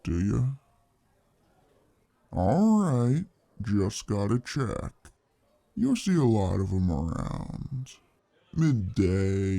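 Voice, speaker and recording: Man acting as friendly fantasy bartender, mellow, close dry sound, folksy and easygoing, ASMR roleplay. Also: lowish voice, gravelly, dry recording, full character voice. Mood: chatty, fond. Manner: laid-back, hesitant, folksy, chatty. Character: friendly easygoing male fantasy tavern bartender, protective of his community. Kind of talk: ASMR roleplay, casual improvised-sounding chat to the listener.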